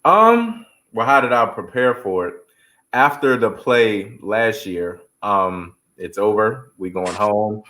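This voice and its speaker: A man's voice: lowish voice, animated, takes it slowly, pushed and bright. Male interview guest, stage actor; earnest, preacherly storyteller with a heartfelt delivery. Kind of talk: heartfelt interview answer with scripture quotes.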